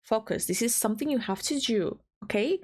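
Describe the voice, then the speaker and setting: Young woman with a light Albanian accent and a blocked nose. Frazzled, self-scolding VTuber at a home mic, fairly high and very lively. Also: brisk. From Balkans, Southeastern Europe. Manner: frazzled, scolds herself, giggly, restarts lines. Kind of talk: casual self-talk between recording takes. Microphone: home recording mic.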